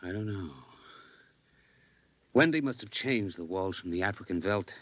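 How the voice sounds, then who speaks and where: Worried, stern 1950s radio dad. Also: male voice, low voice, lively intonation, moderate pace, fairly bright tone, no room sound. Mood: troubled. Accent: American. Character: worried, stern suburban father of two. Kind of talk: 1950s radio drama acting. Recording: vintage radio recording with music behind.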